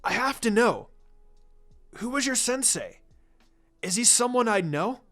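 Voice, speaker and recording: A man's voice: very high-pitched, animated, measured pace, fairly bright tone, faint music behind, pitched above the performer's own voice, full character voice. A man voicing an earnest, eager young ninja boy in a story reading, with a pleading manner.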